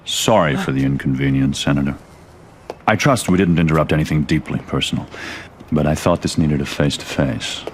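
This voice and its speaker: An actor playing a calm political fixer who speaks politely with veiled threat. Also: male voice, rumbling low, fairly quick, slight background noise. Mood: polite, controlled. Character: calm, threatening male political power broker.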